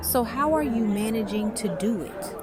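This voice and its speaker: A woman's voice: fairly high pitch, lively intonation, moderate pace, lightly acted. A woman playing a cool, guarded but amused young woman with a dry, casual manner in an audio drama. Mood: wry, amused. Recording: music and party chatter behind.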